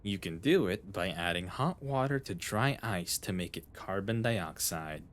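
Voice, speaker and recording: Man acting a low-voiced eccentric young detective. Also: lively intonation, a little slow. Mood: calm, explaining. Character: eccentric young male genius detective, coolly intense, flippant. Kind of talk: anime fan dub acting. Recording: voice over background music.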